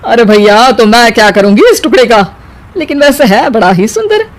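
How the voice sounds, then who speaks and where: A woman's voice: a little high, lively intonation, moderate pace, natural voice. A woman voicing a boastful, mocking middle-aged mother-in-law in a cartoon story. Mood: haggling. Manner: taunting, scornful. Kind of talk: cartoon voice acting.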